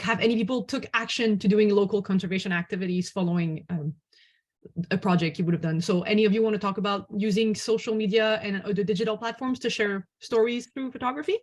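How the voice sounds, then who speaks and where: A woman moderating an online panel, reading out and putting questions to the speakers. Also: mid-range voice.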